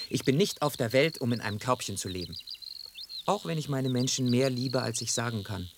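An actor playing an earnest, plucky young tomcat in love in a radio drama, speaking in formal, wordy sentences. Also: male voice, medium pitch, background noise, close to an everyday voice.

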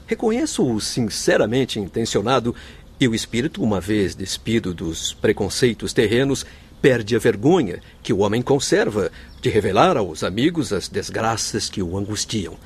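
Solemn, eloquent Brazilian doctor, animated delivery. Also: male voice, fairly low pitch, moderate pace, breathy edge. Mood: sorrowful. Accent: Brazilian Portuguese. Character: earnest, courteous male doctor spirit in the afterlife. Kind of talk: Brazilian radio drama acting.

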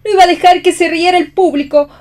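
Una actriz interpreta a una mujer caída, apasionada y desdeñosa, que defiende su amor con tono teatral en un radioteatro. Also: female voice, very high voice, steady tone, quick pace, a little mellow. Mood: defiant. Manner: theatrical, indignant. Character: scornful, passionate fallen woman defending her love. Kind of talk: theatrical radio play reading.

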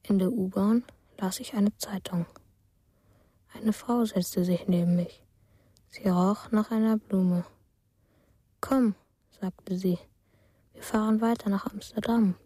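A woman voicing a dreamy, withdrawn child in a radio drama monologue, soft and half-whispered, in simple detached sentences. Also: mid-range voice, fairly even intonation, slow, long pauses, husky, no echo. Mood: dreamy, hushed.

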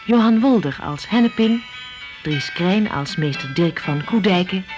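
A woman's voice: fairly low pitch, animated, unhurried, highs cut off. A woman reading scripted radio drama narration and credits as announcer. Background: music.

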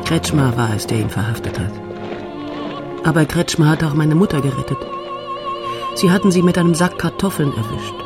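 An actress playing a stoic old grandmother recalling wartime survival in measured, plain statements in a radio drama. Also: sits low, over loud music, close to an everyday voice. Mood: grave, matter-of-fact.

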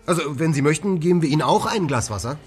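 An actor playing a placid henpecked husband in a radio play, mild, hesitant and conciliatory. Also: male voice, fairly high pitch, lively intonation, fast, soft background music, lightly acted. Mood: mild, resigned. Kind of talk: radio drama acting.

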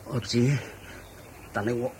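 Slow, sickly, earnest male monk, acted by a man. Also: mid-range voice, steady tone, very noisy, natural voice. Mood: apologetic, troubled. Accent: Cantonese. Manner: deferential, hesitant, earnest. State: sickly, prone to coughing. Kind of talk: Cantonese radio drama acting.

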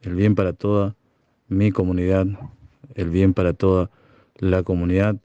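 A man from Chaco, a community broadcaster giving slow, halting, solemn testimony. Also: low-pitched, flat delivery, mellow, clean tone, no echo, lo-fi sound. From Chaco, Argentina. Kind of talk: recorded testimony.

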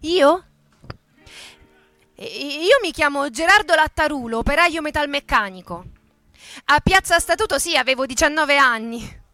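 A woman playing an earnest ageing male metalworker who recalls his militant youth in a halting, conversational, emphatic way, as a radio theatre monologue. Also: sits high, animated, bright, forceful tone, dry recording, soft background music, full character voice. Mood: reflective, insistent.

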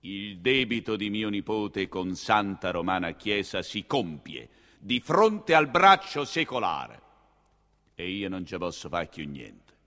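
An actor voicing a pompous, vindictive high-ranking Roman churchman in a stage monologue, scolding coldly in a slow, solemn, drawn-out way. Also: very animated, pushed and bright, long reverb tail, heavily acted. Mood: menacing. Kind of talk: theatrical monologue.

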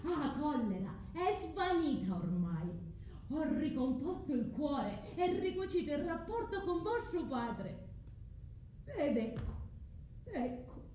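A woman's voice: a little mellow, full character voice. An actress playing a bitter, sarcastic exiled wife and mother in a theatrical radio monologue, with a southern Italian accent and dialect words. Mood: tender, tearful. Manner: dramatic, ironic, with dialect words. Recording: roomy echo, low rumbling noise.

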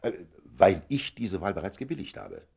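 Very deep, gravelly, discreet gentleman. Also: huge pitch swings, full character voice. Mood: guarded, dry. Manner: formal, measured, reticent. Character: discreet, dryly evasive gentleman, old friend and patient. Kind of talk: German radio play acting.

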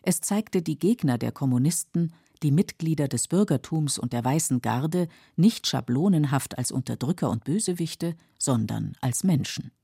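Woman narrator, low n a bit husky, measured factual documentary read, careful wording. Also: animated, mellow, no echo, studio quality.

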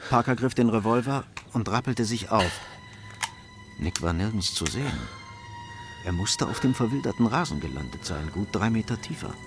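A man's voice: lowish voice, animated, normal speed, lightly acted. A man narrating a crime radio drama in a sober, scripted storytelling style. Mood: sober, suspenseful. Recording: studio radio drama under loud music. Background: loud music.

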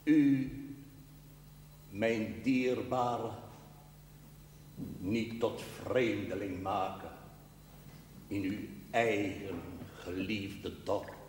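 A man's voice: lowish voice, fairly even intonation, very slow, pauses often, darkish tone, a little hiss or hum. An actor playing a grave churchman delivering a ponderous, declamatory sermon in a television drama. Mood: solemn, admonishing. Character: solemn, grave churchman preaching to villagers. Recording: echoey church-like hall, distant from mic.

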